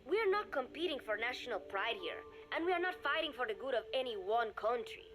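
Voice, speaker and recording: An actress voicing a gentle young male scientist who earnestly pleads with soldiers to stay peaceful, within a game diary. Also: a little high, very animated, fairly quick, music in the background.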